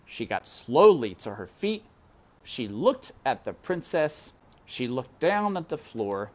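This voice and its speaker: A woman's voice: a bass voice, sing-song, slow pace, a little mellow. A woman storyteller reading a children's book aloud expressively, with chatty asides.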